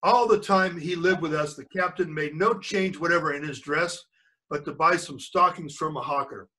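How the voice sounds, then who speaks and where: A man's voice: fairly high pitch, normal speed, bright, forceful tone, rough recording. An older man reading a storybook aloud to children as a grandfather would, stumbling over words and correcting himself, with asides to his listeners.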